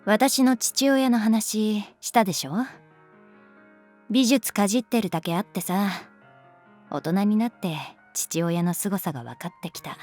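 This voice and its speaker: An actress playing a calm, guarded young schoolteacher in a Japanese audio drama, speaking softly and frankly. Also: female voice, medium pitch, soft background music, natural voice. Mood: wistful, regretful. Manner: unhurried, soft-spoken, frank. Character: adult female homeroom teacher, calm, guarded, gently detached. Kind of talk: Japanese anime-style audio drama acting.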